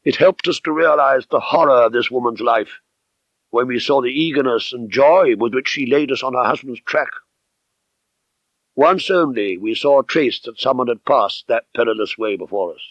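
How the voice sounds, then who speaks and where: A man reading a mystery novel as an audiobook narrator, with measured, precise, dramatic literary delivery. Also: medium pitch, dry recording. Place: dry studio. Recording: slightly dull 1970s audiobook recording.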